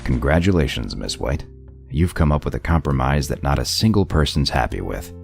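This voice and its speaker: Radio play role: man voicing a gruff wolfish sheriff, extremely deep, heavy gravel, terse and dryly teasing. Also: sing-song, brisk, soft background music, full character voice. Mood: sardonic. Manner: laconic, dry teasing. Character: gruff, sardonic male wolf lawman, loyal underneath. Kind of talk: audio drama dialogue.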